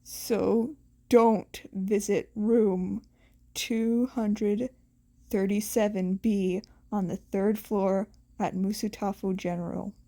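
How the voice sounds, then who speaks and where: A woman voicing a stern male hero and teacher in a story, curt, deadpan and gruff. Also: mid-range voice, takes it slowly. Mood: dryly threatening.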